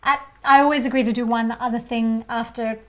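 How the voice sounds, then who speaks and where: An Australian woman chatting as author and co-host, friendly and quick to laugh at herself. Her voice sits a little on the high side. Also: fairly even intonation, fairly bright tone. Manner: friendly, self-deprecating, laughs easily. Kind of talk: casual chat.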